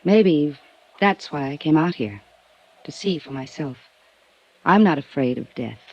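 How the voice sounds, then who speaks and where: A woman's voice: sits low, expressive, measured pace, a little mellow, exaggerated voice. An aloof rich daredevil girl. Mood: cool. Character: young woman, rich daredevil, cool and aloof. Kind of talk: 1950s radio drama acting. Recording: dull old radio recording.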